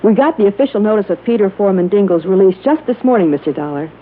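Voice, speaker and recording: A woman's voice: mid-range voice, animated, rapid-fire, narrow, tinny audio, lightly acted. An American actress playing a friendly, teasing female parole officer in a mid-century radio drama. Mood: friendly, coy. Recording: thin vintage radio, faint noise.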